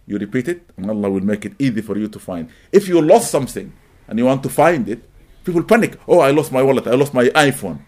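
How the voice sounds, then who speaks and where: A middle-aged man whose first language is Arabic, teaching a live audience, animated and emphatic, rising to loud peaks. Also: medium pitch, fast talker. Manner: animated, rising to emphatic peaks, welcomes latecomers mid-talk. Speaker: religious teacher.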